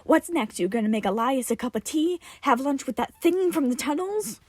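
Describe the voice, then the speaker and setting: A woman voicing an angry, sarcastic man in a story, forceful and scoffing. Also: sits high, lively intonation, normal speed, dry recording, voice pushed up. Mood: exasperated anger. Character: angry, sarcastic, scornful man lashing out at colleagues.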